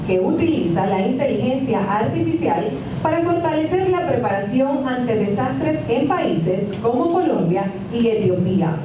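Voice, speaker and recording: Woman introducing a speaker, echoey. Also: a little high. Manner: formal, read-aloud delivery. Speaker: event presenter. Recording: echoey, distant and noisy.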